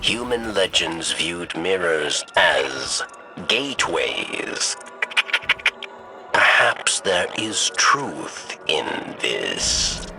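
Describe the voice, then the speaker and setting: An actor playing a wry, philosophical alien spymaster in a video game, speaking in measured, cryptic phrases. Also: male voice, sits low, big pitch swings, gravelly, music in the background, heavily acted, rough recording. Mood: wry, musing. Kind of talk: video game mission dialogue.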